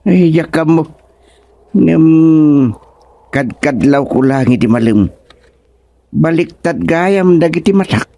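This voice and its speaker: A man's voice: on the high side, lively intonation, takes it slowly, vocal fry, pauses often, dark tone, no echo, heavily acted. A man playing a comic, hungry, easily flustered male ghost in an Ilocano radio drama, bantering with exclamations and an Ilocano accent. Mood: playful.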